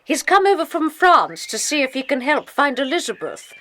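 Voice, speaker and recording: Lively, polite old grandmother. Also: sits high, moderate pace, exaggerated voice. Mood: grateful, concerned. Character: polite elderly grandmother, grateful and concerned. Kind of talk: children's audiobook dramatization.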